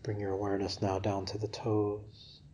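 A man guiding a yoga nidra relaxation for sleep in a gentle, unhurried, soothing manner. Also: on the low side, monotone, slight background noise. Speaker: meditation guide.

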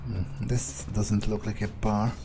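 A man's voice: lowish voice, flat delivery, a little slow, music in the background. A man thinking aloud in character as he plays a video game, musing hesitantly. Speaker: player of a video game playthrough, speaking in character. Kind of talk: in-character roleplay gameplay narration, thinking aloud.

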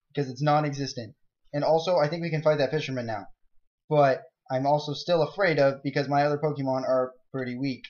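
A young man giving rambling, jokey commentary over a game he is playing, breaking into excitable outbursts. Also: a little high. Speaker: let's play gamer. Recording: dull lo-fi mic.

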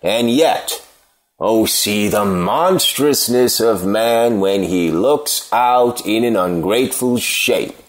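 A solemn observer of human ingratitude acted by a man in a Shakespeare audio play. He declaims slowly in a fairly low voice, grave and moralizing. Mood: grave disapproval. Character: grave, moralizing male stranger condemning ingratitude. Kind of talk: unrehearsed Shakespeare play reading.

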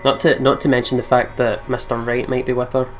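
A man narrates a visual novel in a medium-pitched voice and drops into casual chat between passages. Soft game music plays underneath. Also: little pitch movement, fairly quick. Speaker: let's player reading a visual novel aloud. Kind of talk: scripted narration mixed with casual commentary. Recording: music behind the voice.

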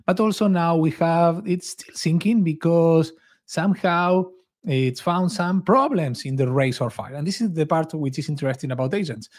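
Higher-pitched man presenting a coding tutorial. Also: normal speed. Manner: enthusiastic, informal. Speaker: software developer advocate, presenter. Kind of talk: screen-share coding tutorial, casual narration. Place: small home office indoors.

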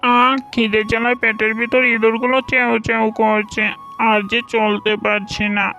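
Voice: mid-range voice, flat delivery, moderate pace, pushed and bright. A performer voicing a sly, greedy, hungry fox thief who schemes to himself in a children's cartoon story, with music behind. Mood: hungry, grumbling. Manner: gleeful, scheming, talking to himself.

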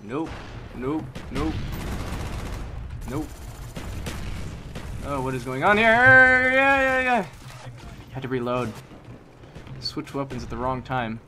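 A man giving live commentary on his shooter gameplay, chatty and talking to himself, sometimes yelling at the game, his voice getting strained. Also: medium pitch, big pitch swings, slow, pauses often, slight background noise. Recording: home mic under loud game gunfire.

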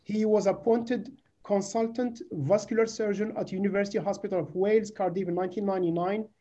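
Guy hosting a video call, mellow dark voice, reads an intro haltingly, muffled lo-fi sound. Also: high-pitched, fairly even intonation. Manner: halting, with repeated phrases. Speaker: host introducing a speaker. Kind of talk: formal introduction, reading a biography. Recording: dull lo-fi video call audio.

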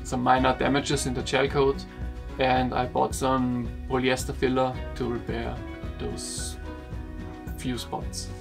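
A young man, a sailing vlogger, explaining a boat project to camera in a slow, halting way, repeating words, seated in a wooden boat cabin. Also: medium pitch, monotone, creaky, over music.

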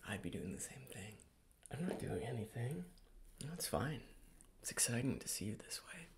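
A young man playing quietly sparring tennis rivals in a hushed, understated, teasing ASMR reenactment. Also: medium pitch, very animated, normal speed, a loud crowd. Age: young adult. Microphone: desk microphone, close. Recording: clearly noisy close-mic recording, crinkling.

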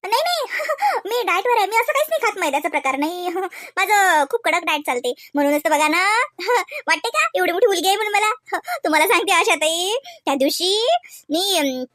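An extremely high, bright female voice for a boastful, envious cartoon visitor, acted by a woman. She talks fast, condescending and affected, dropping into snide asides. The sound is dry and close. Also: expressive, no room sound. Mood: vain, affected. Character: snobbish, boastful, envious visiting sister-in-law. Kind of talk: exaggerated cartoon comedy dialogue.

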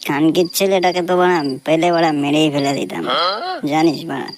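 A man's voice: on the high side, fairly even intonation, a little fast, a crowd in the background, close to an everyday voice. A man with a West Bengal Bengali accent voicing a drunken, bitter young man who rants coarsely in a comedy cartoon. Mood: bitter complaining. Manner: boastful, aggrieved, coarse. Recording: clear background noise.

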